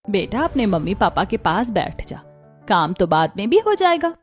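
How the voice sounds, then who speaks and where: A woman's voice: mid-range voice, very animated, moderate pace, husky, soft background music. A woman voicing a caring, protective middle-aged mother-in-law in a family cartoon story. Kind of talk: Hindi cartoon story acting.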